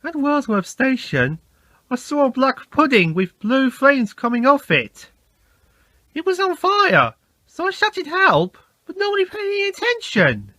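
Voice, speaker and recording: A man voicing a small, cheeky, excitable male tank engine in a children's storybook. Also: very high-pitched, unhurried, dry recording, pitched above the performer's own voice, heavily acted. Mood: cheeky, excited.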